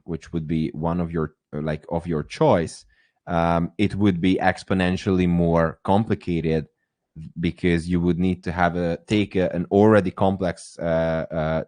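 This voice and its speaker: Low-voiced man teaching with many fillers. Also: a little mellow, no echo. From Hungary. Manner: hesitant, many fillers and restarts. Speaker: AI course instructor. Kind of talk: live webinar teaching.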